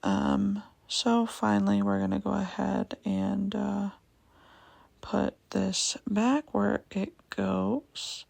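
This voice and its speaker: A young woman with a raspy voice giving a casual vlog voiceover, hesitant, with many ums, and repeating herself. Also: mid-range voice, fairly even intonation, takes it slowly, darkish tone, dry recording. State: raspy voice, going in and out. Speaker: vlogger.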